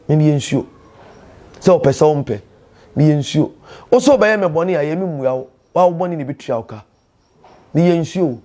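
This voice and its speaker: Male storyteller-host, Ghanaian accent, high voice, impassioned teaching delivery with emphatic repetition. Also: a little mellow. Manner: emphatic, proverb-laden, repeats phrases for emphasis.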